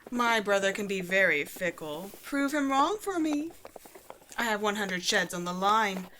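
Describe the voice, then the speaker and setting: A woman's voice: medium pitch, lively intonation, a little slow, bright, forceful tone, a little hiss or hum, rough recording. A woman acting a teasing, haughty young noblewoman in a fantasy audio drama, sardonic and playful.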